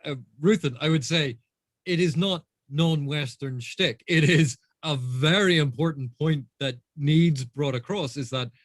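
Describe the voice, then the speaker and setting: Deliberate male host with dry sound. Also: fairly high pitch, no echo. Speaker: host of book discussion channel. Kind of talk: casual moderated discussion.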